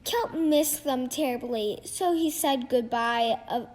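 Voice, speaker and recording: High-pitched, slow, halting female storybook reader. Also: a little mellow. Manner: halting, stumbles over words and rereads phrases. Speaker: reader of a children's picture book. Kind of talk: storybook read-aloud.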